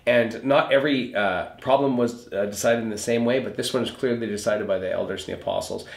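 A man's voice: fairly low pitch, quick pace, creaky. A man speaking as a pastor, explaining scripture in an earnest, conversational recap of a sermon, repeating points for emphasis. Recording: roomy echo.

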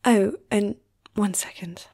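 A woman acts out a gentle, flirtatious vampire lady of noble blood in an ASMR roleplay. Her delivery is slow and intimate, with a mellow, slightly husky softness that often slips toward a whisper. She teases tenderly. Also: mid-range voice, exaggerated voice. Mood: sleepy, hopeful. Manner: soft, intimate, teasing, often dropping to a whisper.

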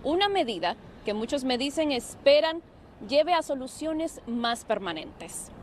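High-voiced woman reporting live outdoors. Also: unhurried, slight background noise. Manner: clear, energetic on-air delivery. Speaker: field reporter. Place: outdoors on a city street at night. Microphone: handheld mic.

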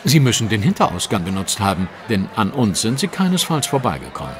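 An actor playing an astute, self-assured gentleman detective in an audio drama, precise and dryly teasing. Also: on the low side, sing-song, fairly quick. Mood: dry, knowing. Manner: precise, explanatory, dry. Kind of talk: German audio drama dialogue.

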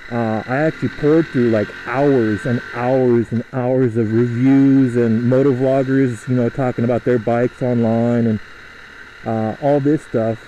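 A young man talks through his ride in a loose, rambling way, full of fillers and restarts. His voice is mellow and mid-pitched. It is picked up by a helmet mic with faint road noise behind it. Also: fairly even intonation, muffled recording. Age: young adult. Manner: rambling, chatty, halting with fillers. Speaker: motovlogger. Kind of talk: casual riding commentary. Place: riding a motorcycle outdoors through a suburb.

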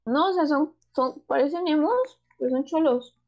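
A woman's voice: sits high, normal speed, dull audio. A young woman with a northern Mexican accent co-hosting a casual livestream chat, candid and slangy. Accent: northern Mexican Spanish. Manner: slangy, laid-back, candid. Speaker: podcast co-host.

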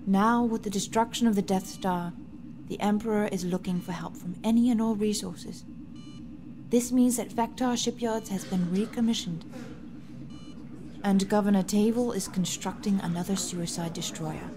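A woman playing a composed, earnest noble rebel leader who speaks formally and gravely in a science fiction audio drama. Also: mid-range voice, normal speed, background noise, lightly acted. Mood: grave briefing.

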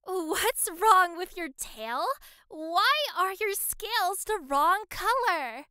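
A woman's voice: extremely high pitch, animated, slow, pushed and bright, no echo, clean studio sound, exaggerated voice. A woman playing a vain, airheaded dragon princess in an audio drama, gushing and haughty. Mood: gushing, tactless. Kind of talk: fan audio drama acting.

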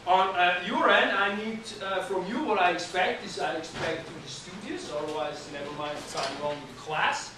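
Male anatomy instructor in his thirties, lively and bright-voiced, lectures from across an echoey classroom. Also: high voice, moderate pace, hardly any pauses, far from the mic, background noise. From Switzerland. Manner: animated, talks with his hands, restarts often. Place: college classroom, indoors. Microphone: far camera at the back of the room.

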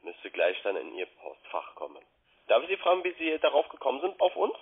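A man's voice: mid-range voice, wildly swooping pitch, fairly quick, slightly rough. A man working as a call centre sales agent, giving polite, eager patter and asking question after question. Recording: muffled, band-limited phone line.

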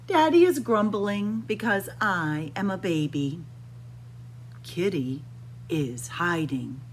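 A middle-aged woman reads a children's picture book aloud at a very slow, careful pace. Her slightly low voice moves expressively through sing-song phrases, as a storyteller speaking to small children. There is some background noise in the room. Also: expressive. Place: indoors in a library room with bookshelves.

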